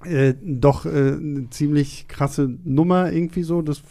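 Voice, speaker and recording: A man's voice: on the high side, steady tone, measured pace, vocal fry, mellow. A man hosting a film podcast in a studio, chatting loosely with many fillers and hesitations. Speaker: podcast host. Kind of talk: casual film podcast chat.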